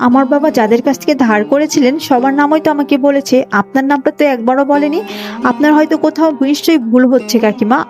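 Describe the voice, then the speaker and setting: A woman's voice: high-pitched, quick pace, over music, close to an everyday voice. A woman voicing a poor, dutiful young village daughter in a cartoon story, plaintive, earnest and emotional. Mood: polite, pleading. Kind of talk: Bengali cartoon moral-story voice acting.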